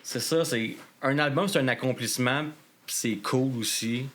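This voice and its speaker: A man with a Québécois French accent, a band member guesting on an interview, speaking casually with quick asides. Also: medium pitch, fairly bright tone, a little hiss or hum.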